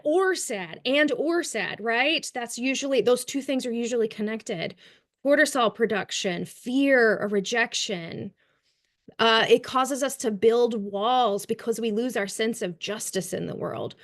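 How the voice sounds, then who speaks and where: A woman's voice: a little high, fairly bright tone. A woman with a Kentucky accent giving a trauma-informed care webinar on a video call, chatty and full of ums and light laughs. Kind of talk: webinar presentation.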